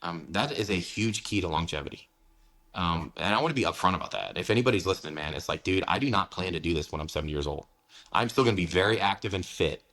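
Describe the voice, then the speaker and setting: A man in his forties from the United States speaks in a deep, low register and at a quick clip. He is frank and casual as he talks through a long-form interview, leaning on "you know" and "man". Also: expressive, fairly bright tone. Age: 40s. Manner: blunt, candid, peppered with "man" and "you know". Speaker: guest, fitness coach.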